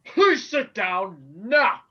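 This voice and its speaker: A man voicing an impatient father frog in a storybook, calling out commandingly in drawn-out words. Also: very high-pitched, sing-song, pushed and bright, highs cut off, voice pushed up, heavily acted. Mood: stern. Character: Froggy's father, impatient bellowing adult male frog.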